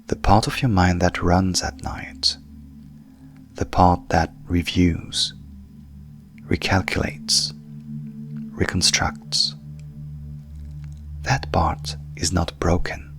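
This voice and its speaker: A low male voice with a gravelly creak leads a sleep hypnosis session. He is calm and measured, letting soft phrases trail into breath and leaving long pauses. Gentle meditative music sits behind him. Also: whispered. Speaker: coach and hypnotherapist guiding a session.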